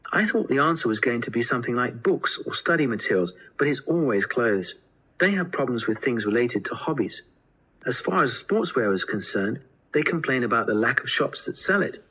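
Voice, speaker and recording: A man's voice: medium pitch, animated, a little fast, pushed and bright, slightly rough, low-quality recording. A man playing an earnest college student explaining survey findings in a scripted listening-test dialogue. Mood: earnest, informative.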